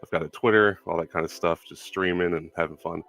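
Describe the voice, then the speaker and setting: A young man hosting a casual Q&A stream, speaking in a relaxed way with many ums and filler words. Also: low voice, fast talker. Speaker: stream host.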